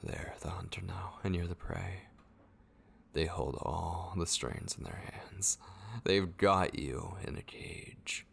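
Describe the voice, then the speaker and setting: Male actor as sinister obsessive tormentor, low pitch, husky breathy whisper, theatrical menace, horror ASMR roleplay. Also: slow pace, fairly bright tone, exaggerated voice. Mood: mocking, menacing. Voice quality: breathy, gravelly, often whispering. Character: sinister obsessive male voice taunting a captive vampire.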